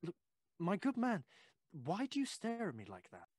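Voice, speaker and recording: A male actor playing a courteous, inquisitive Victorian gentleman in an audio drama, hesitant and effusive with old-fashioned phrasing. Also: sits high, huge pitch swings, moderate pace, no room sound, studio quality, full character voice. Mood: unnerved, protesting.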